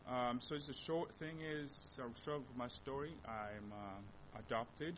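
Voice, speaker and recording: A young man from the Democratic Republic of Congo with a Congolese accent, whose first language is Lingala, giving a missionary presentation to a church and searching for words with many restarts. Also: measured pace. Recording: dull recording with steady low rumble.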